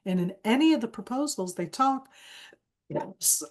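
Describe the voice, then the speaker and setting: A woman's voice: on the high side, lively intonation, a little slow. An Israeli woman with a cold, speaking as a guest analyst in an online Q&A, emphatic and repeating key phrases. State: has a cold. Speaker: guest speaker on a webinar panel.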